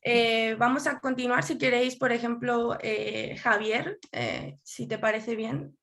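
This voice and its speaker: A woman moderates a panel over a video call, her voice sitting a little high. She speaks in a measured, friendly way, pausing with small fillers as she hands over turns. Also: gravelly, fairly bright tone.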